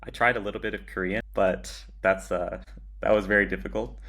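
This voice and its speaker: Male interview guest, low and flat in pitch, measured, hesitant, matter-of-fact, conversational. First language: English. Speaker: podcast guest, agency founder.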